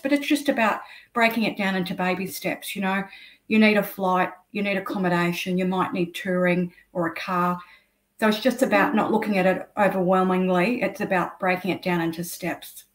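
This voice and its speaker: A woman's voice: medium pitch, normal speed. A middle-aged woman with an Australian accent, explaining at length as an interview guest, with many ums. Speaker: guest, travel blogger. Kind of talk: video call interview. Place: indoor room. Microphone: video call. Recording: echoey room over video call.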